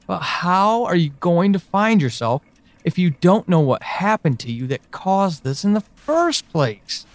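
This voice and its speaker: An actor playing an anguished, pleading, devoted man in an audio drama monologue, speaking haltingly and emotionally. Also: sits high, sing-song, no echo, full character voice. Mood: pleading, frustrated. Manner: halting, hesitant, emotional, with sighs and pauses.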